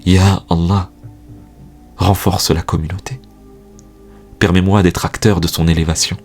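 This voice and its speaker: A man with a husky voice recites spoken-word poetry in a solemn, earnest, exhortatory manner, posing rhetorical questions. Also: low voice, level tone, a little slow, a little mellow. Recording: music bed behind the voice. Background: music.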